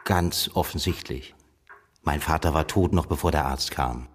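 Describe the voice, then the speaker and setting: A man's voice: a bass voice, moderate pace, breathy edge. An actor playing a cold, bookish middle-aged man from a rich family in a radio drama, detached and formal. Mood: cool, guarded.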